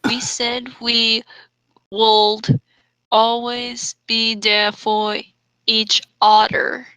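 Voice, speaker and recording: A young woman performs a comic read-aloud of amateur fiction. Her voice is fairly high and bright, and she goes very slowly and deliberately, mixing narration with casual banter. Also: little pitch movement, clean tone, no room sound, poor audio. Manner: deliberate, stumbles over misspellings read as written. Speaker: host and reader.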